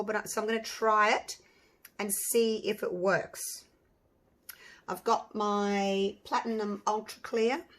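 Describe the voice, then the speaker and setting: Slightly hoarse woman chatting while crafting. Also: a little high, fairly bright tone. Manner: chatty, thinking aloud with frequent ums and restarts. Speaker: resin artist and channel host. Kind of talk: casual crafting tutorial.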